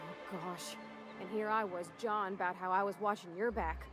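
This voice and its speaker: A woman's voice: mid-range voice, steady tone, normal speed, over music, natural voice. A woman voicing a bubbly folksy young mechanic.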